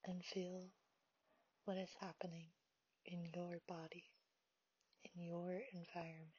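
Woman meditation guide: breathy half-whisper, unhurried delivery, muffled dry home recording. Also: on the low side, little pitch movement. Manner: soft, half-whispered, slow and soothing.

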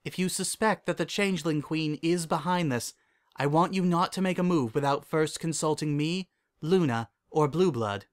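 Man voicing a gentle regal pony princess, pitched up. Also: moderate pace, lightly acted. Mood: calm, reassuring. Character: calm, kindly royal pony princess and mentor, female. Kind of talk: storybook character dialogue.

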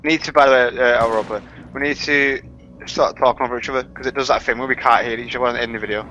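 Bright-voiced young man, lo-fi mic. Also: mid-range voice, fairly even intonation, fast talker. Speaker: gaming roleplayer. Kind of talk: improvised in-character game roleplay, casual. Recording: lo-fi game mic, music behind.

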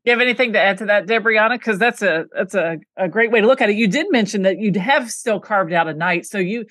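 A woman's voice: medium pitch, fast talker, fairly bright tone, no room sound, clean studio sound. A woman hosting a podcast, asking long, encouraging questions. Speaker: podcast host. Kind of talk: interview questions.

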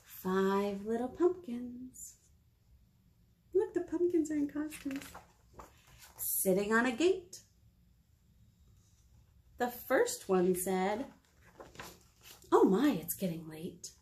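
An American woman in her thirties leading a children's storytime, reading aloud and chatting to young listeners in an encouraging, expressive manner. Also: a little high, takes it slowly, long pauses, a little hiss or hum. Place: small indoor meeting room.

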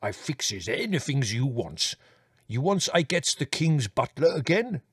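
A man voicing a big, helpful Greek man with a rumbling, gravelly voice and a Greek accent, speaking broken English in an audiobook story. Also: animated, normal speed, a bit bright, dry recording, clean studio sound, heavily acted. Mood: reassuring. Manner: broken English with extra plural endings.

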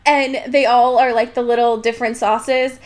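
A woman with a fairly high voice hosts a casual podcast book recap. She talks in a giggly, irreverent way and swears freely. The delivery is loose and conversational, as between two co-hosts. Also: level tone, normal speed. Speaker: podcast co-host.

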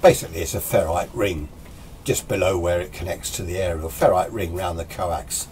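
An older British man in his sixties with a lowish voice talks off the cuff in a chatty, opinionated way. He rambles through an informal hobby tutorial, with clear noise behind him in a small workshop. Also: brisk, darkish tone. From United Kingdom. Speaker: amateur radio hobbyist presenting a tutorial. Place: small indoor radio workshop.

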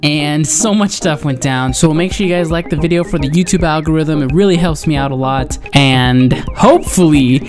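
A woman video host chatting casually and jokingly while gaming, with a giggly, excitable manner. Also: low-pitched, normal speed, hardly any pauses. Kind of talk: casual joking chat in a VR game. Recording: music behind. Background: background music.

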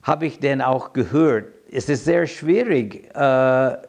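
Interviewee: American man in his seventies, native English speaker, slow and halting, mid-pitched voice, reflective. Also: darkish tone. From United States. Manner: halting, searching for words in a second language. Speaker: interviewee telling his migration story. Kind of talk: reflective personal account to camera. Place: quiet room indoors.

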